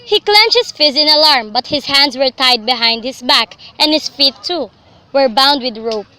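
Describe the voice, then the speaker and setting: A woman's voice: sits high, animated, moderate pace, pushed and bright. A young woman narrating a scripted story for a radio drama.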